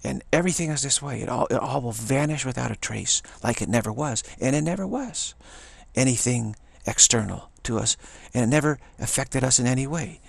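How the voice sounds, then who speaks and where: An older man, a spiritual teacher, giving a rambling monologue, halting and repeating words, with frequent chuckles, recorded on an action camera. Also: mid-range voice, animated, gravelly, no echo.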